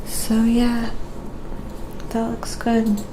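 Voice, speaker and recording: A woman hosting a casual live painting stream, talking quietly to viewers and thinking aloud step by step as she works. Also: a little high, completely flat delivery, drawn-out words, noisy background.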